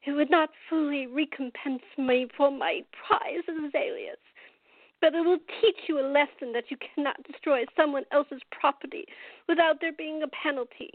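Radio play role: imperious octogenarian woman acted, very high pitch, formal scolding, dry but phone-like sound. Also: fairly even intonation, moderate pace, no echo, close to an everyday voice. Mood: stern, scolding. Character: cantankerous, commanding woman in her eighties. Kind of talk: radio theater acting. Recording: tinny, phone-like narrow band.

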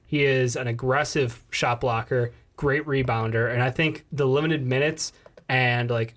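A man's voice: medium pitch, steady tone, unhurried, a bit bright. Slangy, loose-talking podcast co-host man. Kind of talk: casual sports podcast chat.

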